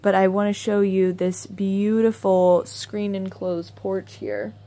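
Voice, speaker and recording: A woman's voice: medium pitch, slow, mellow. Chatty woman real estate agent. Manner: upbeat, chatty, enthusiastic. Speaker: real estate agent giving a house tour. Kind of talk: walking house tour narration. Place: inside and around a house, voice-over while filming.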